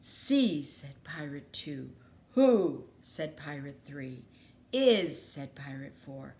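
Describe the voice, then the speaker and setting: A woman voicing a furious, bullying pirate in a children's story, barking out words loudly. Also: deep voice, sing-song, slow pace, exaggerated voice. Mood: fierce, shouting.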